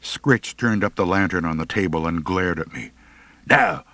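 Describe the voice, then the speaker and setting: A man's voice: low voice, normal speed, a bit bright, no echo. A man narrating a humorous first-person audiobook story in a wry, theatrical manner.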